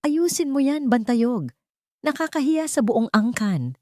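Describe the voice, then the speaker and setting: A woman's voice: high voice, big pitch swings, measured pace, a little mellow, no room sound, clean studio sound, pitched above the performer's own voice. A woman voicing reproachful, scandalized older relatives in a family story, accusing and scolding.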